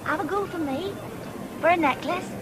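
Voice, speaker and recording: A woman's voice: very high-pitched, normal speed. An actress playing a thrifty, forthright young country woman with a rural English accent in a 1970s radio drama, coy and scolding. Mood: coaxing, eager. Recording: noisy old radio broadcast, fairground din.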